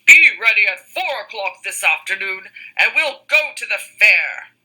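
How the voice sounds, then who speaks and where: A woman playing the Big Bad Wolf, loud and growling. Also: medium pitch, animated, measured pace, breathy edge, heavily acted, low-quality recording. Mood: coaxing. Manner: growling, roaring demands. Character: big bad male wolf, menacing, blustering.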